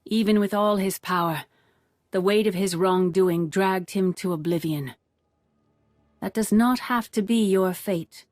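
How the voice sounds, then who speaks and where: A woman playing a wise older Jedi master and mother in a video game, speaking in a measured, formal way. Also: medium pitch, a little mellow, no echo, clean studio sound, close to an everyday voice. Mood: grave, sincere. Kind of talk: video game cutscene acting.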